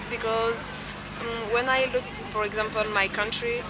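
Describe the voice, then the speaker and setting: A woman whose first language is French, speaking English with a French accent, talking haltingly and giggling as she searches for words at an outdoor festival. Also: a little high, fairly even intonation, very noisy. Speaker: interviewee. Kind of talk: vox pop interview. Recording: loud crowd and music outdoors.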